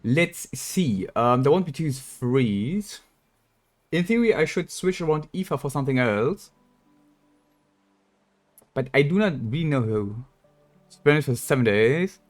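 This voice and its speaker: A man with a slightly high voice chats on a game livestream. He rambles bluntly and swears casually. Faint music plays behind him. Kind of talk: casual gaming stream chat.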